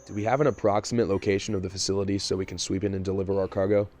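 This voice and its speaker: Fast talking navy captain guy man acting, clipped orders, audio drama, bit of music behind. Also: sits low, little pitch movement, darkish tone, close to an everyday voice. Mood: decisive. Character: commanding male military captain, brisk and decisive.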